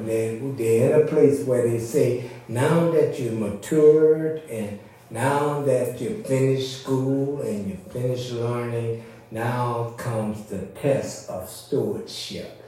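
Man, informal sermon, teacherly, slow-paced, heavy room echo, distant mic. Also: mid-range voice, warm tone. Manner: teacherly, checks listeners follow with short questions. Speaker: preacher leading a church service. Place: reverberant indoor church service.

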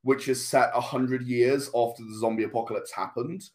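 Mid-pitched man explaining in halting conversation. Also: steady tone, darkish tone. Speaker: podcast guest, comic writer.

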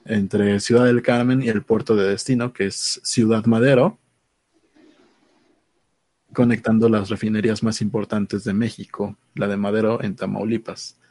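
Hombre de voz grave y ronca, seco. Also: male voice, on the low side, level tone, normal speed, a little mellow, no room sound. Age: 30s. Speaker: co-host reading news. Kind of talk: casual news discussion.

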